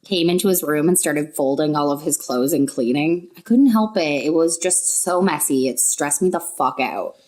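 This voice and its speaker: A woman from Ontario, Canada, guest co-hosting a podcast in casual chat from a bedroom, quick and teasing and often laughing. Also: deep voice, darkish tone. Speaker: guest co-host.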